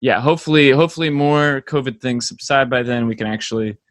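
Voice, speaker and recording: A man's voice: medium pitch, fast, dry recording, clean studio sound. A young American man chatting casually as a band member being interviewed.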